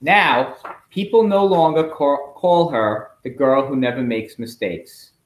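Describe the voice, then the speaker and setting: Guy doing an animated storybook read-aloud for kids, medium pitch, chatty asides, echoey room at home. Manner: expressive, pausing to ask questions. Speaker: school principal, read-aloud host. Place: indoors at home.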